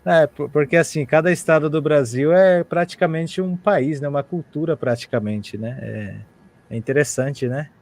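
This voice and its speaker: A man's voice: fairly high pitch, moderate pace, darkish tone. A man from Paraguay hosting a live language class over a video call, chatting in a friendly, rambling way with many fillers. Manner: switches between Spanish and Portuguese, friendly, many fillers.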